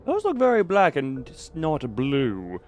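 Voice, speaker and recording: Animated male game streamer. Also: a little high, measured pace. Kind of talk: casual live game commentary. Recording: faint game music behind.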